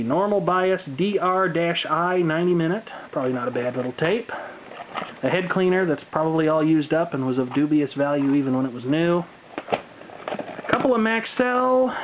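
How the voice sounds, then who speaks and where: A man with a slightly high voice talks off the cuff as he presents things to camera. His delivery is wry and folksy, with dry asides. The sound is narrow and phone-like, with some faint noise behind him. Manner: wry, dry humor, folksy turns of phrase. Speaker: hobbyist video presenter. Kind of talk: unscripted casual show-and-tell commentary. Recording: muffled phone-like audio with background noise.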